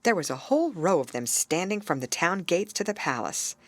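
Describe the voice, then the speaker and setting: Animated low-pitched woman reading a fairy tale. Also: brisk, dry recording. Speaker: audiobook reader. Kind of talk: expressive scripted fairy tale narration.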